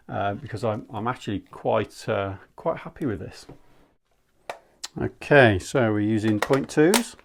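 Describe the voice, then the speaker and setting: A man's voice: mid-range voice, creaky. A man giving a casual, candid product review in a conversational, rambling way. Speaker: airsoft gear reviewer.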